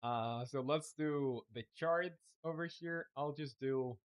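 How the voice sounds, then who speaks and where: A man's voice: a little high, takes it slowly. Spanish-native man live-streaming game dev. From Chile. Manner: relaxed, thinking aloud with many fillers. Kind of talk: casual live-stream commentary while working.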